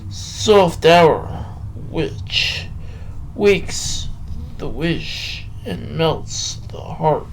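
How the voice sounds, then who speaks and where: A man talking and reading poetry solo as a podcast host, halting, with drawn-out phrases and long pauses. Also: fairly high pitch, slight background noise, low-quality recording. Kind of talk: rambling solo talk and poem reading.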